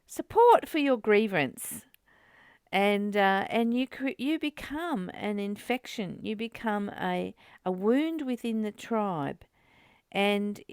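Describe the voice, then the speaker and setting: A woman's voice: medium pitch, vocal fry, smooth, no room sound, clean studio sound. A woman co-hosting a spiritual study group, talking in a casual, reflective, conversational way.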